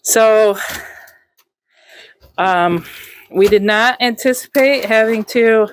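A young woman casually narrating a walkthrough of a camper she is fixing up, relaxed and chatty with frequent ums. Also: on the high side, slow pace, a little hiss or hum. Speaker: vlog host. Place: inside a gutted camper trailer.

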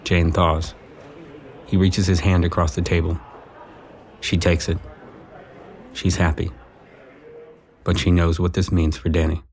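A man's voice: low voice, little pitch movement, unhurried, pauses often, darkish tone. A man reading scripted narration for a romantic comedy audio drama.

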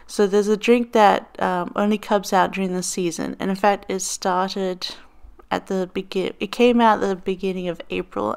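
A woman's voice: medium pitch, clear, resonant tone. A woman giving a casual, rambling voiceover over her planning footage, chatty with frequent ums and a slightly crackly morning voice. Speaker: planner vlogger. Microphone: voiceover over overhead desk footage.

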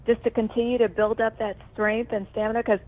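A woman's voice: a little high, level tone, brisk. A woman talking casually and heartfelt over a phone line, effusive and rambling, with lots of emphasis. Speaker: TV news presenter on medical leave, calling in. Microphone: telephone call.

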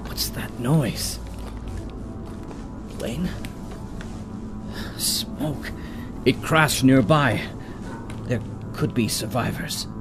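Man acting a dazed hero, very slow and halting. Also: medium pitch. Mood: dazed, alarmed. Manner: halting, muttering to himself in fragments. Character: dazed male space-adventure hero, shaken after a fall. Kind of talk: audio drama acting. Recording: music behind the voice.

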